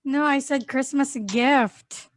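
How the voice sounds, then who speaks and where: A woman's voice: high voice, no room sound. A woman from the Philippines hosting a casual chat, playfully quizzing her guest. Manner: playful, slow and deliberate. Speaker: host. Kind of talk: casual chat, quiz questions.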